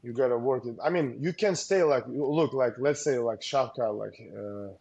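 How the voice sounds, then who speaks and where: A man speaking as a guest coach in a relaxed interview, his voice mellow and dark in tone. He talks haltingly, stopping and restarting, and piles up fillers as he works toward his point. He sounds earnest, as if handing out practical advice. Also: medium pitch, gravelly, slightly rough. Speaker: combat sports coach, guest. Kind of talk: casual interview talk.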